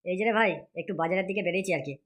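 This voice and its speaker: A woman's voice: mid-range voice, rapid-fire, slight background noise, natural voice. A woman voicing a stingy young man in a comedy cartoon who frets and grumbles with lots of swearing. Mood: pleading. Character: stingy young man, fretful and short-tempered. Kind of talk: Bengali comedy cartoon dialogue.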